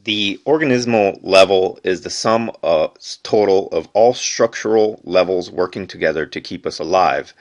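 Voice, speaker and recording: A man reading educational textbook material aloud in an unedited amateur way, phrasing it deliberately. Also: low-pitched, highs cut off. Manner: deliberate phrasing, reads headings aloud, stumbles and corrects himself.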